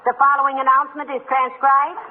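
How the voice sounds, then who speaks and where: An actress playing a sensible, wry, gently scolding wife in a 1940s radio comedy, with a homey, chiding way of talking. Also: female voice, high-pitched, little pitch movement, fast talker, natural voice. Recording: tinny, slightly noisy 1940s radio recording.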